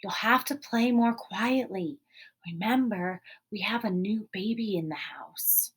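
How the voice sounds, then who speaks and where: A woman voicing a young boy's gentle but firm mother in a storybook, hushing and calling in a sing-song way. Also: medium pitch, measured pace, a little hiss or hum, natural voice.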